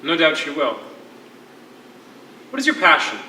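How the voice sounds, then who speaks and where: A stage actor playing a world-weary, wry imprisoned writer with a wordy, formal, sardonic manner. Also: male voice, fairly high pitch, huge pitch swings, measured pace, pushed and bright, exaggerated voice. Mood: courtly, probing. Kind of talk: theatrical stage acting. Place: on a stage in a hall. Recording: echoey theatre stage, distant mic, faint noise.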